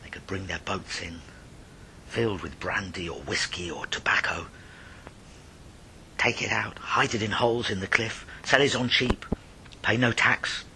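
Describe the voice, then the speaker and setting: Male storyteller, very sharp bright voice, slightly low pitch, dramatic pauses, rhyming ghost tale, hushed to forceful. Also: a little hiss or hum, low-quality recording.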